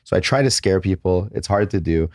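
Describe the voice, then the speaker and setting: A man's voice: sits low, animated, brisk, darkish tone, no room sound, clean studio sound. A man, a plastic surgeon, speaking candidly in a casual interview, blunt with crude jokes.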